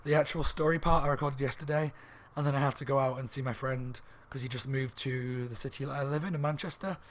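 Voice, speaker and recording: A slightly hoarse man reviewing a book in a rambling, casual chat at home, with lots of ums and restarts. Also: mid-range voice, steady tone, quick pace. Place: room at home by a window on a main street.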